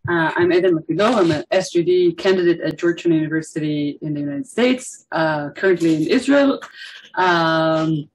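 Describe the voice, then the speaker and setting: A woman with a somewhat low voice introduces herself as a doctoral candidate. The talk is informal and unscripted, with faint noise on the recording. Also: moderate pace, poor audio. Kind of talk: informal self-introduction at a workshop.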